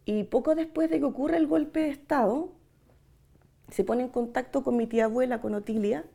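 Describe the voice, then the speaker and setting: Chilean woman, forties, oral-history interviewee. Soft-edged, mellow tone with marked vocal fry; thoughtful, halting delivery. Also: high-pitched. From Santiago, Chile. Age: 40s. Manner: reflective, hesitant, searching for words with long pauses. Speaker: interviewee giving testimony. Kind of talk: personal oral-history testimony.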